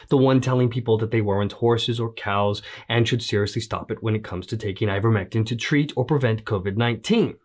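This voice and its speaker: A man's voice: medium pitch, slightly rough. A man hosting an online news show, reading scripted commentary in a slightly crackly voice after the flu.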